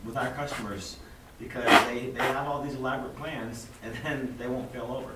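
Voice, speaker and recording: Halting man in a noisy echoey hall. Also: medium pitch, fairly even intonation, recorded from a distance. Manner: halting, restarts phrases. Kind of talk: casual discussion.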